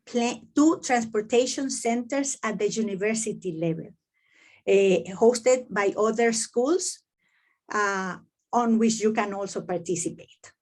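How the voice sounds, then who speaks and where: Middle-aged woman from Venezuela, halting. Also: mid-range voice. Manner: hesitant, many fillers and restarts. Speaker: professor presenting to admitted students. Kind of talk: online info session talk.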